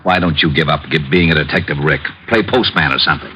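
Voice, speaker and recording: An American man playing a gruff, exasperated police lieutenant in an old radio drama, dry and curt. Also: extremely low pitch, quick pace, pushed and bright. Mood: dry, weary. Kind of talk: 1940s-50s radio drama acting. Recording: muffled, noisy old radio recording.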